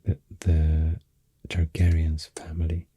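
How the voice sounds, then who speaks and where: Casting: man, Swedish accent, very dark low voice, soft and breathy, slow halting ASMR ramble, close-miked. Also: monotone, slight background noise. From Sweden. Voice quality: deep, soft, breathy, half-whispered. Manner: hesitant, slow, halting, self-correcting. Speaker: ASMR artist. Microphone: close microphone.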